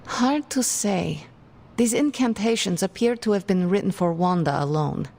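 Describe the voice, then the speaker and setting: A woman's voice: medium pitch, expressive, darkish tone. A woman voicing a bitter, haughty young sorceress in a video game, guarded and formal in her speech. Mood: brooding, grim. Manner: formal, disdainful, deliberate. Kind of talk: scripted game dialogue. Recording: music behind the voice.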